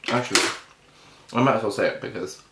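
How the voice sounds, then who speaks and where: A young British man chatting casually and self-deprecatingly to camera, clearing his throat now and then. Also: lowish voice, fast talker, roomy sound, background noise. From Britain. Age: young adult. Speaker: vlogger. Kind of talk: casual mukbang chat.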